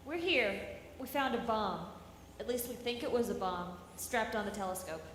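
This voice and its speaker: A woman playing a brisk, bossy spacewalker in a live radio drama, clipped and commanding. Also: mid-range voice, expressive, fairly bright tone, lightly acted. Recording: echoey hall, long reverb, faint noise.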